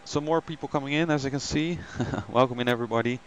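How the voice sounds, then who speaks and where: A man's voice: medium pitch, quick pace, lo-fi sound. A man hosting a flight simulator live stream, chatting casually with many ums and greeting viewers by name.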